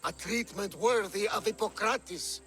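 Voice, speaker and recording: An actor playing a dry-witted companion in a video game. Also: male voice, sits high, measured pace, fairly bright tone, soft background music. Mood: wry.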